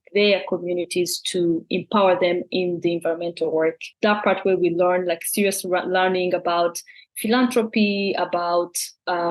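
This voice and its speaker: A young woman from Rwanda speaks in a somewhat low voice. She talks thoughtfully in an interview, often pausing on fillers and restarting her sentences. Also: a little mellow, poor audio. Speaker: program consultant.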